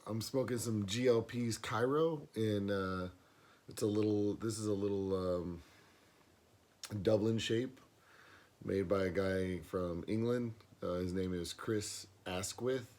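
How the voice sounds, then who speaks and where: A man with a hoarse, worn voice, rambling casually through a vlog with lots of fillers, recorded on a camera mic with no external microphone. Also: on the low side, slow pace, darkish tone. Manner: rambling, many fillers and restarts. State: hoarse, losing his voice. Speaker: pipe smoking vlogger. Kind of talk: casual box opening vlog.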